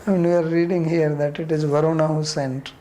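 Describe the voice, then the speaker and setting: A man's voice: fairly high pitch, level tone, fairly quick, warm tone, a little hiss or hum. A man with an Indian accent leading an informal class discussion as a spiritual teacher, answering questions bluntly and challengingly with rhetorical questions.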